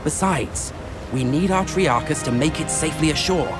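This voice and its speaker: Man playing a high-voiced, articulate Roman nobleman. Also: over loud music, close to an everyday voice. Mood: reasoning. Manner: measured, formal, articulate. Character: reasonable, educated Roman nobleman, calm strategic advisor. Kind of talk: scripted video game acting.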